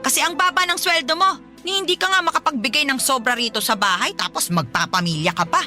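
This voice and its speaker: A woman's voice: high-pitched, fast talker, faint music behind. A woman playing a harsh, scornful mother in a Tagalog radio drama, scolding and badgering for money. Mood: scornful, nagging. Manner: scolding, sharp-tongued, badgering.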